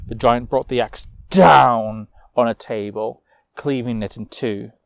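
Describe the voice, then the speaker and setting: A man narrating a fantasy tale as a storyteller, with a measured, theatrical delivery. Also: mid-range voice, creaky, dry recording.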